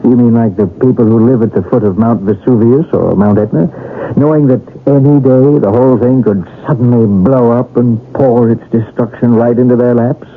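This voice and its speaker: A man playing a calm, thoughtful, probing doctor in a 1970s radio drama. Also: mid-range voice, moderate pace, hardly any pauses, close to an everyday voice. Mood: sociable, reflective. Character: Carlos, calm inquisitive male doctor open to the occult. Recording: tinny, noisy 1970s radio recording.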